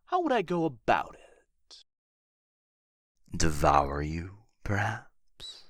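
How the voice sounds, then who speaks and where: A proud, wounded dragon guards his hoard in an ASMR fantasy roleplay, played by a man. His delivery is theatrical and taunting, swinging dramatically from drawn-out whispers to sharp, forceful outbursts. The sound is dry and intimate. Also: deep voice, dry recording, full character voice. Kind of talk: ASMR boyfriend roleplay acting.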